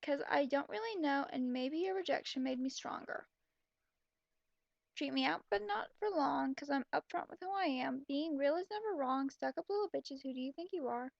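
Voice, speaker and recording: High, creaky, sarcastic young woman. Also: moderate pace, dry recording, lo-fi sound. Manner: exasperated, mocking, blunt asides. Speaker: commentator reading fan fiction aloud. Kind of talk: sarcastic reading with running commentary.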